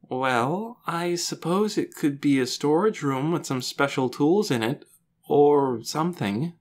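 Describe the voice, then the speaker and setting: A woman voicing a serious, thoughtful young boy in a children's audiobook, soft-spoken, hesitant and doubtful. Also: low voice, lively intonation, a little slow, pitched above the performer's own voice. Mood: doubtful, musing. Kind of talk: children's audiobook character dialogue.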